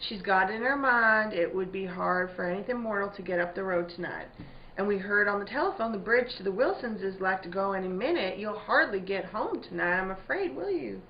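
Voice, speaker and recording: Woman playing a wary, mid-pitched farm wife. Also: animated, brisk, lightly acted. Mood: uneasy, apologetic. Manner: plainspoken, homely, increasingly suspicious. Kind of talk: spooky radio drama acting. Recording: dull lo-fi audio with faint noise.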